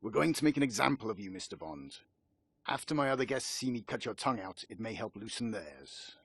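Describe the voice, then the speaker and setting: A man playing a coolly threatening crime boss with a husky voice in a video game cutscene. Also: lowish voice, lively intonation, brisk, a bit bright, no room sound, studio quality, exaggerated voice. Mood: cold menace. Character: menacing male crime boss holding a prisoner.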